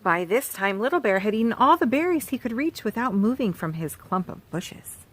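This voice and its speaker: A woman reads a children's storybook aloud with a lively, rising and falling voice. She talks gently to young listeners, pausing to point out the pictures as she goes. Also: a little high. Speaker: children's author reading aloud. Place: farm.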